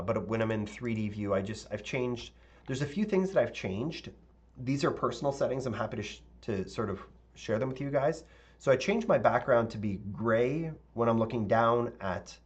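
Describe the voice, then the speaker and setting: A man with a fairly low, slightly raspy voice walks viewers through his work on a live stream, chatting loosely and filling pauses with "um" and "uh." The audio is dull and lo-fi, with keyboard typing heard behind him. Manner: relaxed, rambling, thinks aloud with fillers. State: slightly raspy voice, clearing throat. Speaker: architect teaching live.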